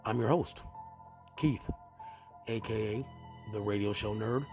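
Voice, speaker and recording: A man's voice: on the low side, measured pace. A man with a scratchy voice from a cold, introducing a podcast as its host. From US East Coast. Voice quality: scratchy, gravelly. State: fighting a cold. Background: music.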